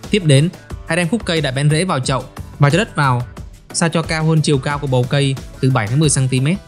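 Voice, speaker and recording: A man's voice: on the high side, quick pace, faint music behind. A man hosting a gardening question segment, reading scripted explanations in an orderly, instructional way.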